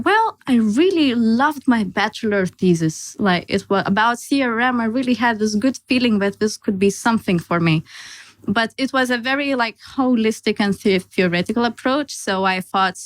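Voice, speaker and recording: A woman's voice: on the high side, no echo, rough recording. A young woman from Lithuania, a native Lithuanian speaker, chatting casually as a podcast guest and laughing between restarts. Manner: restarts and laughs while telling her story. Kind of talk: casual chat.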